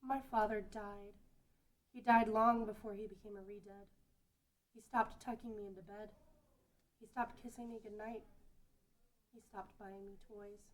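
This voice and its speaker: A woman's voice: medium pitch, flat delivery, a little slow, long pauses, natural voice, poor audio. A woman playing a grieving little girl in a fantasy table read. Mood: bitter grief.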